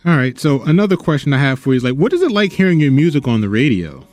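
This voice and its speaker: Halting male radio host, mid-pitched. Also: very animated, darkish tone, dry recording, soft background music. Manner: hesitant, halting with fillers. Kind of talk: live radio interview.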